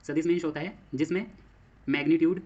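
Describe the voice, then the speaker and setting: Male Indian lecturer, higher-than-average voice, explanatory and repetitive, dull lo-fi audio. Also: steady tone, brisk, darkish tone. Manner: explanatory, repeats phrases and asks himself questions. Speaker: physics teacher for exam coaching. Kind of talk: classroom lecture mixing Hindi and English terms.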